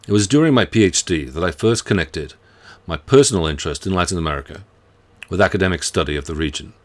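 A middle-aged man, a professor giving a scripted welcome to his students. His voice sits very low, and he speaks in a measured, earnest, friendly way. He was recorded at his desk in a book-lined home study. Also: animated. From United Kingdom. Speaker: university professor. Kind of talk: scripted self-introduction to students.

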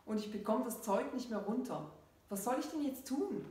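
A woman's voice: mid-range voice, lively intonation, moderate pace, natural voice. A woman voicing an emphatic, urgent schoolgirl heroine in a story reading. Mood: desperate. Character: Leila, exasperated, stunned schoolgirl narrating heroine. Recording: very echoey room, distant microphone.

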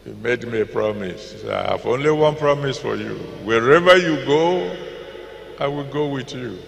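An older man with a Nigerian accent preaching a sermon into a handheld mic in a large packed auditorium, slowly repeating scripture references and decreeing blessings. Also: medium pitch, expressive, vocal fry. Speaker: pastor. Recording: echoey hall with long reverb.

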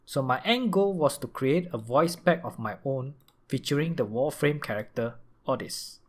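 A man's voice: mid-range voice, animated, moderate pace. A man narrating a scripted gaming tutorial as a video creator.

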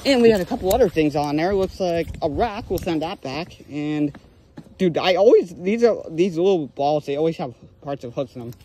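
Young male vlogger, high lively voice, mellow tone, excitable outdoor commentary, faint ambience. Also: normal speed. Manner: excitable, exclaims over finds. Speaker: magnet fishing vlogger. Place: outdoors on a lake dock. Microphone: handheld phone or body camera.

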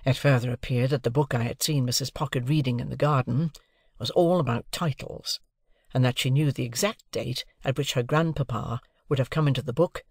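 A man narrates literary fiction for an audiobook. His mid-range voice has a noticeable gravelly creak. The recording is close and dry. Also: medium pitch, dry recording.

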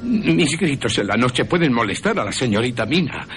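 A man's voice: mid-range voice, big pitch swings, moderate pace, fairly bright tone, pitched above the performer's own voice. A man voicing a volatile, pleading asylum patient in a radio play, agitated and prone to stammering, with music underneath. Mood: ominous.

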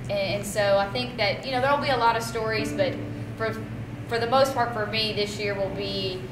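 A woman teacher reflecting casually in an interview, pausing often mid-sentence. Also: mid-range voice, fairly even intonation, background noise. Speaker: high school theater teacher. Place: seated on a dark theater stage. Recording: roomy, distant mic, loud music behind.

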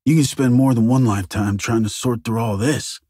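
Man acting a very husky, wry SWAT officer. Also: mid-range voice, fairly even intonation, fairly quick, warm tone, dry recording, clean studio sound, lightly acted. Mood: wry, uneasy. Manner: casual, muttered wisecracks with swearing. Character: jaded male police SWAT officer, wry and foul-mouthed. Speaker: video game squad member. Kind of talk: video game character barks.

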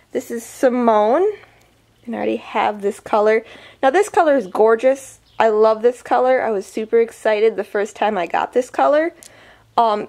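A woman vlogger chatting casually through an unboxing review, her voice a little weak from a summer cold, full of ums and likes. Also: a little high. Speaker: beauty vlogger.

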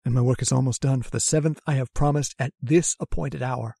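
A man's voice: mid-range voice, steady tone, brisk, creaky, slightly rough, no room sound, clean studio sound. A man playing a mad, pious murderer who preaches scripture with menace in an old-time radio horror drama. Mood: soft menace. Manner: preachy, hushed and menacing, swelling to ranting. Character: mad murderous male lodger, pious, scripture-quoting zealot.

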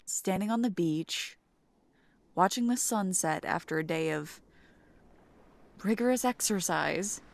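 A young woman doing a soft, playful, teasing ASMR girlfriend roleplay. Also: lowish voice, expressive, a little slow, gravelly, long pauses.

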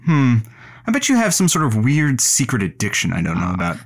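Man playing playful radio producer, very lively pitch. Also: fairly quick, dry recording, clean studio sound. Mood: teasing. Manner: playful, teasing. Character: easygoing, joking male radio producer. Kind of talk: semi-scripted audio drama.

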